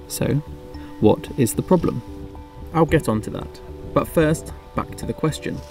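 A man narrating his travel vlog in a measured, reflective scripted voice-over. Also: mid-range voice, gravelly, darkish tone. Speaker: travel vlogger.

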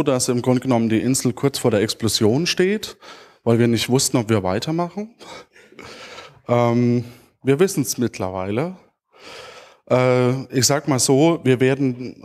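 A man in his thirties with a stuffy head cold speaks informally and haltingly on stage into a handheld microphone, as a podcast creator answering an audience. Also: mid-range voice, a little mellow. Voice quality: congested from a cold. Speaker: podcast creator giving a conference talk. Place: auditorium stage.

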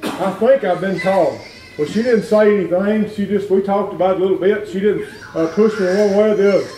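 A middle-aged man preaching a folksy revival sermon in a church, climbing into shouts. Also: high voice, brisk, far from the mic, slight background noise. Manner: folksy, plain-spoken, rises to shouting. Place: wood-paneled church sanctuary. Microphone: far room camera. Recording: echoey church heard from afar.

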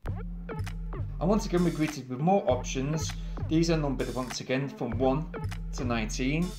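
An English man narrates a hands-on tech tutorial in a friendly, chatty voice that sits a little high. He moves through each step plainly and upbeat. Music plays clearly beneath him. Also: big pitch swings, a little slow. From England. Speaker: tech video host. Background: background music.

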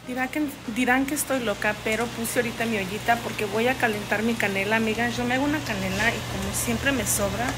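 Chatty higher-voiced woman. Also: quick pace, hardly any pauses, music in the background, poor audio. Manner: chatty, addresses viewers as friends, switches between Spanish and English. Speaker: vlogger. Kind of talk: casual vlog chat to the camera. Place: home kitchen, then inside a car. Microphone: phone camera held at arm's length.

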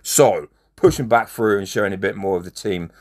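A man with a hoarse, fading voice, chatting casually as he comments on a mobile strategy game and gives friendly shout-outs. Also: lowish voice, moderate pace. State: losing his voice, hoarse. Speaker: gaming channel host.

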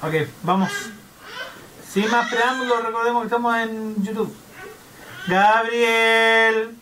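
A man's voice: extremely high pitch, fairly even intonation, takes it slowly, background noise. A man hosting a gaming video with casual, playful, joking commentary. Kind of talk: casual let's-play commentary.

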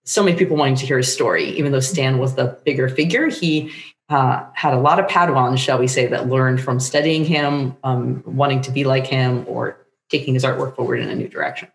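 A middle-aged woman talks passionately in a conversational interview style. Her voice carries clear room echo, as on a video call from home. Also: a bass voice, brisk. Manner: enthusiastic, passionate. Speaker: guest, playwright and teacher. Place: home room with bookshelves, indoors. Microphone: desk microphone on video call.

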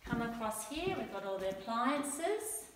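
A woman's voice: on the high side, big pitch swings, measured pace. A young Australian woman showing viewers around a house and garden, listing features in short, admiring phrases while walking. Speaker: house tour presenter. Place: house and garden, indoors and outdoors. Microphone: handheld phone camera. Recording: echoey rooms, noisy outdoors.

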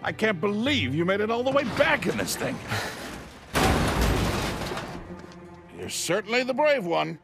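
A man's voice: high-pitched, big pitch swings, a little fast, gravelly, exaggerated voice. An actor playing a kindly older male doctor and scientist in a video game cutscene. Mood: amused. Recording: music under the voice.

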